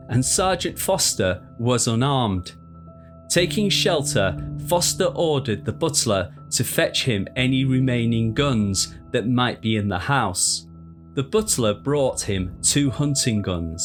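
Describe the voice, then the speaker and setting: A man narrating a historical crime tale in a measured, deliberate, dramatic storytelling style. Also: mid-range voice.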